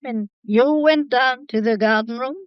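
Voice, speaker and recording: An actress playing a sharp, unflappable elderly English grandmother, brisk and probing, in a radio mystery drama. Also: fairly high pitch, no echo, natural voice. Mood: imperious, probing. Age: older. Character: sharp, unflappable old grandmother of a well-off household. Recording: dull, muffled lo-fi audio.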